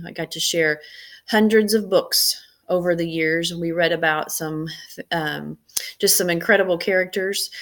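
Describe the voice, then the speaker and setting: A middle-aged woman with a slightly low voice speaks as an educator to young pupils. She reads and presents in an expressive storytelling way, clearly pitched at children. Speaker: school educator reading aloud to students. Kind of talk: read-aloud storytelling for children.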